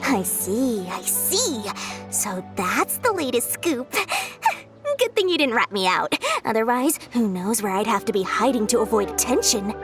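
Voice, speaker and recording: A woman's voice: on the high side, sing-song, unhurried, music in the background. A woman voicing a shrewd, greedy young female merchant in a video game, talking in a sly, bubbly, salesy way. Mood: scheming. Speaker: game character.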